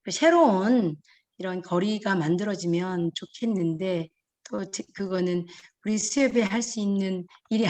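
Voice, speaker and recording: A woman who heads a fisheries cooperative, answering a panel discussion over a video call in an earnest, measured way. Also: medium pitch, a little mellow. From Jeju Island, Korea. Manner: earnest, measured, with fillers and restarts.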